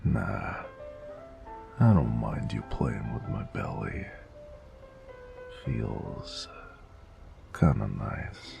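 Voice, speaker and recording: Man acting a very deep, gravelly noir mobster. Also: sing-song, drawn-out words, pauses often, music in the background, exaggerated voice. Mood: tender, drowsy. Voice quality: very deep, gravelly, breathy murmurs. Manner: old-time gangster slang, sighing, drawling. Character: weary, teasing, affectionate male noir mobster lover. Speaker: voice actor in romance audio roleplay. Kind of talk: intimate noir romance audio roleplay.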